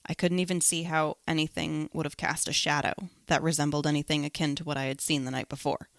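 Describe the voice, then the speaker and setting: A woman's voice: low voice, flat delivery, quick pace, creaky, no room sound, studio quality. A woman hosting a podcast, telling personal spooky stories in her own words. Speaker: podcast host.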